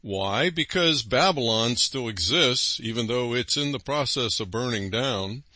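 A man's voice: medium pitch, lively intonation, unhurried, pushed and bright, no echo. A man hosting a religious broadcast, preaching emphatically with rhetorical questions and frequent asides. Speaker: radio broadcast host.

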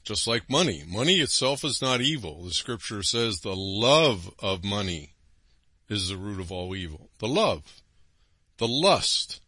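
A man's voice: medium pitch, sharp tone, no echo. A man hosting a religious broadcast, preaching emphatically with rhetorical questions and frequent asides. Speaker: radio broadcast host.

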